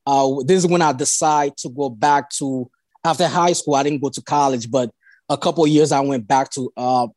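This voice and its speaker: A man from Haiti telling personal stories as an interview guest in an informal, halting way, often repeating phrases. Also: mid-range voice, fairly even intonation, darkish tone, no room sound. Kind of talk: casual personal storytelling.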